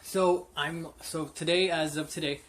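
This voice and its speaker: A man's voice: a little high, fairly even intonation, unhurried, a bit bright, a little hiss or hum. A man in his late twenties telling a personal story off the cuff, candid and rambling with many fillers and restarts. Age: 20s. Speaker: vlogger. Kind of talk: unscripted personal story to camera.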